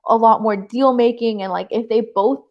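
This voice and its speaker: Woman, high voice, casual book chat, live show co-host, emphatic, animated, conversational filler words. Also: normal speed, dull audio.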